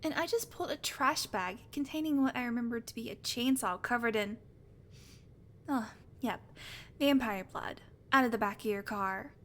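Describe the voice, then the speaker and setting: A woman's voice: on the high side, normal speed, a bit bright, slight background noise, lightly acted. A woman playing a sardonic, teasing, foul-mouthed female vampire in a conversational audio roleplay. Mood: teasing, sarcastic. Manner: teasing, sarcastic, casual swearing. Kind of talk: audio roleplay acting, conversational, addressed to listener.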